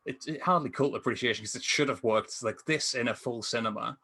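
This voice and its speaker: A man's voice: mid-range voice, brisk, pushed and bright, slightly rough. A man in his thirties casually reviewing films in a rambling, enthusiastic way over a headset. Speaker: podcast film reviewer. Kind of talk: casual film discussion. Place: indoor room at home, on a video call.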